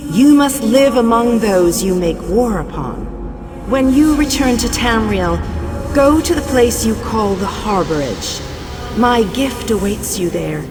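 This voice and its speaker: A commanding goddess, rather high in pitch and set in long hall reverb. Each phrase is grave, stately and formal, as in a fantasy game monologue, with music clearly behind it. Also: female voice, expressive, a little slow. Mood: solemn, instructing. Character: solemn, commanding goddess addressing a mortal hero. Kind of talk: video game dramatic monologue.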